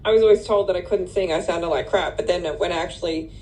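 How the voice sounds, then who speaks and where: A woman speaking as a client in a healing session, agreeing softly and sharing personal thoughts. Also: fairly low pitch, steady tone, fast talker. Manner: soft agreeing, backchannels "yeah" and "mm-hmm". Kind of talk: casual conversation.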